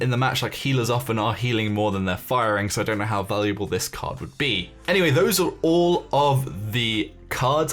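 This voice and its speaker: A man's voice: mid-range voice, fairly bright tone. A man hosting a gaming video, giving casual commentary in an explanatory, tentative, speculative way as he talks through details. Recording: music behind the voice.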